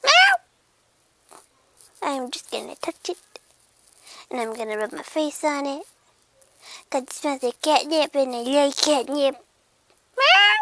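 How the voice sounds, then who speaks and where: A woman's voice: sits high, huge pitch swings, slow, gravelly, long pauses, pushed and bright, full character voice. A woman voicing a childish, moody little house cat, whining and repeating itself as it narrates its thoughts in a comic pet voice-over. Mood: blissful, mesmerized. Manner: whiny, repetitive, babyish self-narration with meows.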